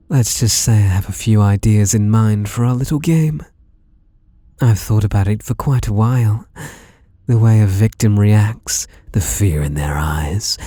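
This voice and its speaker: Low, slow British slasher villain, acted by a man. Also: warm tone, husky, no echo. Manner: slow, menacing, theatrical, mock-tender murmuring with whispered words. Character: sadistic, teasing, obsessive male masked slasher killer. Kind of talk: ASMR roleplay.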